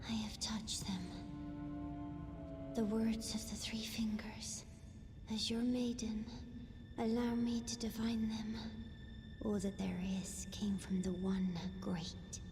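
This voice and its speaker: An actress playing a devout, solemn young maiden in a dark fantasy game, speaking in a breathy, whispery voice with a reverent, incantatory manner. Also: female voice, a little high. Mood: grateful, reverent. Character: devout young blind maiden of a chaos flame, fervent and solemn. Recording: long cavernous reverb under music.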